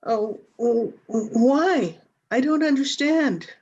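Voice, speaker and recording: A woman's voice: high voice, expressive, slow, a little mellow, slightly rough, full character voice. A woman playing a worried, hard-up frontier widow in a radio western, with plain rural, hesitant, apologetic speech. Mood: puzzled. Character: worried, starving frontier widow searching for her son. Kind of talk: old-time radio western acting. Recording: dull, lo-fi video-call audio.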